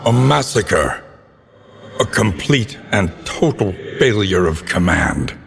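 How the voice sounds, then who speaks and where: A man playing a grim, solemn narrator of dark gothic game tales, speaking in theatrical, ornate phrasing. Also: lowish voice, very animated, slow, full character voice. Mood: grim, ominous. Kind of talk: dramatic scripted gothic narration. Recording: long reverberant tail, clear background noise.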